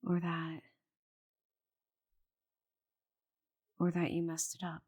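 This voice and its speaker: A woman voicing a proud, stubborn, bitter female dragon in a dramatic audio roleplay, with a breathy voice and haughty, sighing delivery. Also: lowish voice, monotone, a little fast, darkish tone. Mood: despairing. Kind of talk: scripted audio roleplay monologue.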